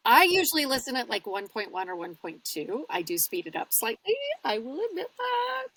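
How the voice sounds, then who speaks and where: Lively, bright-voiced woman chatting as a guest. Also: a little high, moderate pace, rough recording. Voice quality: husky. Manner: candid, easygoing, self-deprecating, swears casually. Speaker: audiobook narrator, podcast guest. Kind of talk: casual interview chat.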